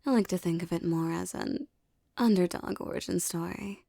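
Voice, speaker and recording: A woman playing a tough, doting young mafia boss girlfriend in an intimate ASMR romance roleplay, talking softly in tender, teasing street slang. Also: lowish voice, normal speed, gravelly, no echo, clean studio sound. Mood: dreamy, assured.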